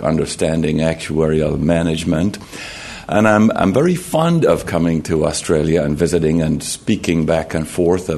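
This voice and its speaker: A man with a Jamaican accent giving a formal talk at a conference podium, measured and halting, often clearing his throat and restarting. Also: extremely low pitch, darkish tone. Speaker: conference speaker. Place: conference hall.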